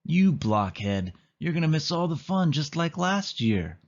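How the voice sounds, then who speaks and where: A man's voice: fairly high pitch, very animated, measured pace, muffled recording, voice pushed up. A man voicing a bossy, scornful young girl in a children's storybook, exclaiming her lines. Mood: exasperated.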